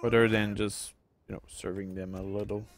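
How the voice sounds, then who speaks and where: French Canadian man, low flat voice. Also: normal speed. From Quebec, Canada. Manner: rambling, many uh fillers and restarts. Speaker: gameplay streamer. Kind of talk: casual gameplay commentary.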